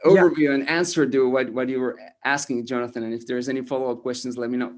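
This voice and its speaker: A man with an Estonian accent, speaking English as a second language, talking as an interview guest, halting with many restarts. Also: medium pitch, steady tone. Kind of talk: casual interview talk.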